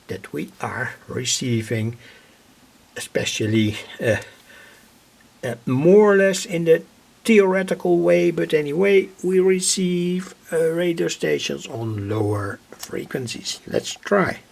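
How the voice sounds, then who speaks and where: Slow, creaky-voiced man. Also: a little high. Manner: hesitant, deliberate, repeats himself. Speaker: electronics hobbyist presenter. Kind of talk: informal technical demonstration, narrated live.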